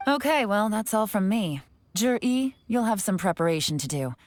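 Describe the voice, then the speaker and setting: A woman playing a composed, authoritative boss of agents in a video game, measured and cool. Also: medium pitch, animated, no echo, close to an everyday voice. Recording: music playing behind the voice.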